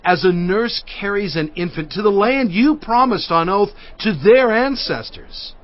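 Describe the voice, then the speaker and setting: An actor playing an anguished, pleading prophet and leader in a dramatized audio Bible, with impassioned, declamatory delivery. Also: male voice, high voice, exaggerated voice. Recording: dull lo-fi sound with music.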